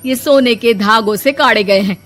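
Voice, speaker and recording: Cartoon mother-in-law played by a woman: middle-aged village scold, high-pitched, indignant, emphatic, music underneath. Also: moderate pace, a bit bright, lightly acted. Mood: offended. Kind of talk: animated moral story dialogue.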